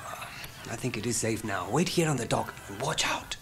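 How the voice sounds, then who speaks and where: A man's voice: mid-range voice, expressive, moderate pace, background noise, lightly acted. An actor voicing an earnest, idealistic male revolutionary, speaking in formal, stiff English in an early 1980s radio play.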